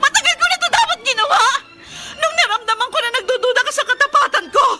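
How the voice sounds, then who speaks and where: An actress with a Filipino accent playing an aggrieved, tearful young wife in a melodramatic radio drama. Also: female voice, extremely high pitch, animated, fast. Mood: tearful fury. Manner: emotional, tearful, reproachful. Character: aggrieved young wife walking out on her jealous husband. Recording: radio drama with music behind.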